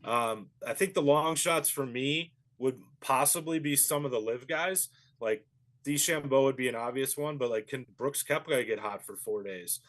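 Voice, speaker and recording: A man's voice: mid-range voice, moderate pace, fairly bright tone. A man, a golf professional, giving easygoing and thoughtful analysis as an interview guest. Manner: easygoing and thoughtful, with frequent fillers.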